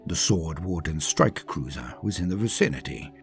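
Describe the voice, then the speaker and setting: A man with a husky voice narrates a scripted story in a theatrical, measured, ornate manner. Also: deep voice, lively intonation, soft background music. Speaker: channel host and storyteller.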